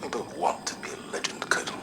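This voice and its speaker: An actor playing a grave, guilt-ridden veteran commander in a video game, speaking in a solemn, reflective, measured way. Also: male voice, in a whisper, natural voice. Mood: subdued, weary. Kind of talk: dramatic video game monologue and dialogue.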